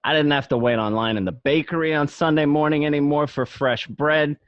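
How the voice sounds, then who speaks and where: A man playing a cocky young wise guy looking back on his mobster youth in an amateur radio play, talking colloquially and a little haltingly. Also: a little high, fairly even intonation, clean tone, dry recording, highs cut off, lightly acted. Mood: proud. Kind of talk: first-person narration in an amateur radio play.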